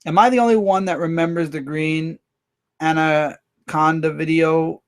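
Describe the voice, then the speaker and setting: A man hosting a casual livestream, rambling through viewer comments with quick asides. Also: fairly high pitch, steady tone. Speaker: livestream host.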